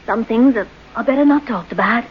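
Steely, high-voiced lover in muffled radio drama. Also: female voice, husky. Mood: controlled, firm. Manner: brisk, commanding, urgent. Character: steely, bossy lover taking control. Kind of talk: old-time radio drama acting. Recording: muffled, noisy vintage radio recording.

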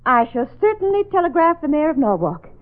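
An actress playing a prim, indignant older spinster aunt in an old radio drama. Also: female voice, high voice, sing-song, a little fast. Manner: prim, huffy. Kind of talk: 1940s radio acting. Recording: tinny old radio broadcast.

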